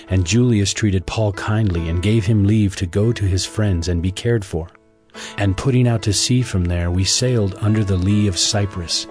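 A male narrator with a low voice reads scripture for an audio drama. His storytelling is measured and solemn, with music clearly present underneath. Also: darkish tone. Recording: narration over prominent music score.

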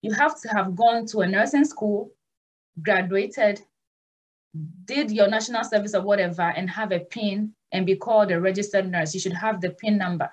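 A woman's voice: mid-range voice, moderate pace, low-quality recording. A young woman, a nurse and consultant, hosting a live video-call Q&A at home in an earnest, direct and reassuring way. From Ghana. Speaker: nurse and migration consultant host. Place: small room at home.

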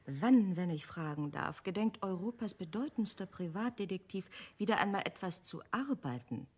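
A witty, bossy wife in a radio drama. Her husky voice moves expressively up and down as she teases and gently scolds with affection. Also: on the low side, lively intonation, moderate pace. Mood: teasing. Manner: dry, teasing, gently scolding. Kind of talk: German radio play acting.

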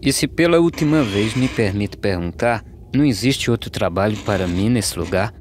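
A man playing a poor, weary migrant farm labourer, speaking measured verse in an animated film. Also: on the low side, noisy background, lightly acted. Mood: polite, inquiring. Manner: measured, declaimed rhyming delivery. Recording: music behind the voice.

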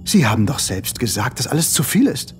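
Manipulative tempter, male actor for comic radio drama: insinuating, persuasive, medium pitch. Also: big pitch swings, fairly quick, over loud music, natural voice. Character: smooth-talking, manipulative male tempter and buyer. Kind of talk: comic audio drama acting.

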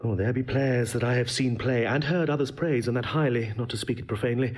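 An actor playing an eloquent, exacting young prince in a classical Shakespearean audio drama, husky and articulately didactic. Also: male voice, medium pitch, brisk, a little mellow, natural voice. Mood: exacting, earnest.

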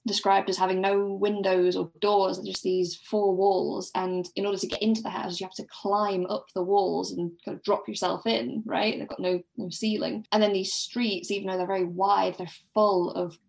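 A woman speaking as a podcast guest in a casual book discussion, tentatively softening her points. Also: medium pitch, flat delivery, normal speed, vocal fry, hardly any pauses.

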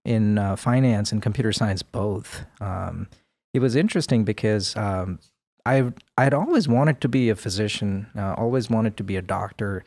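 A man in his forties, born in India, speaking as a podcast guest in a measured, thoughtful way with many pauses and fillers, on a boom mic in a studio. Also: darkish tone, dry recording. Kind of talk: podcast interview. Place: studio room with plants. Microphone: boom mic with headphones.

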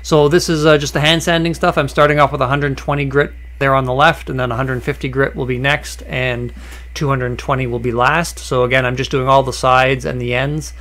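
Relaxed man narrating a woodworking build. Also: medium pitch, brisk. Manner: relaxed and conversational, with many "uh" fillers and restarts. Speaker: woodworking channel host. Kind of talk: voice-over narration of a workshop project.

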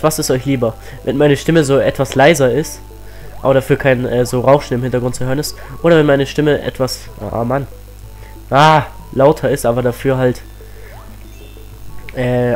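A teenage boy with a cold, chatting excitedly as he plays a platform game on his channel, full of rambling asides. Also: mid-range voice, moderate pace, soft background music. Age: teens. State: has a cold. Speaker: let's play host. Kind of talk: casual game commentary.

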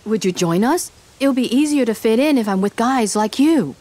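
A woman playing an earnest, uncertain young warrior in an animated game, polite and hesitant. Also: on the high side, moderate pace. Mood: hopeful. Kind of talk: animated video game character acting.

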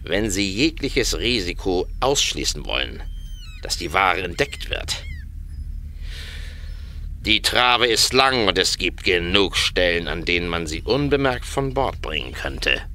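An actor playing a shrewd, teasing informant in a radio drama, knowing and brisk. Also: male voice, low voice, slight background noise, heavily acted. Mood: matter-of-fact. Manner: animated, knowing.

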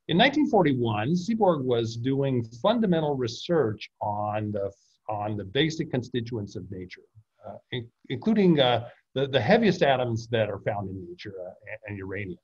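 A middle-aged man explains history and science to an audience, his pitch rising and falling in a lively way. His voice is slightly husky, and the sound is dull and lo-fi, like audio from a video call. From United States. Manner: explanatory, many fillers and restarts. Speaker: author giving a book talk. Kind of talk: illustrated slide presentation.

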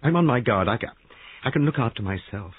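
A man's voice: medium pitch, animated, fairly quick, breathy edge, no echo, voice pushed up. A man voicing an anxious, distraught young wife in an audiobook story, breathless and pleading, with stammers. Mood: defensive. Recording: narrow-band lo-fi radio-like sound.

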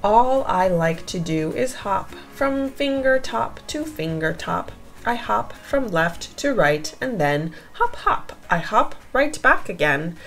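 A small, simple, cheerful hopping creature from a storybook, read by a woman. It talks in bouncy, emphatic rhymes with lively swoops in pitch. Music plays softly underneath. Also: slow pace. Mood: playful.

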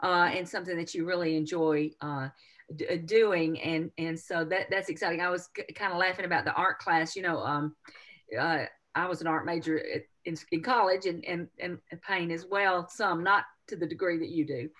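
An older woman hosting a casual conversational interview, halting a little and repeating words as she goes. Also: lowish voice, steady tone, a bit bright. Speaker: interviewer, host. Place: indoor home office, on video call.

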